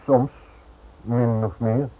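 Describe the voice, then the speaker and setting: A man's voice: medium pitch, steady tone, very slow. A man acting a worried, evasive businessman in a radio play, hesitant and nervous under questioning. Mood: nervous evasion. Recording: tinny, narrow old radio recording.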